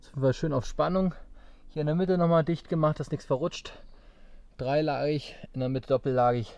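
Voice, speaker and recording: Man, 30s, outdoor survival vlogger, casual and upbeat, somewhat high pitch, forest camera audio. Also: steady tone, moderate pace, vocal fry, warm tone, clear, resonant tone, dry recording, muffled recording. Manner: chatty, addresses viewers directly, upbeat. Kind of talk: casual outdoor vlog talking to viewers. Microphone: action camera mic at a distance.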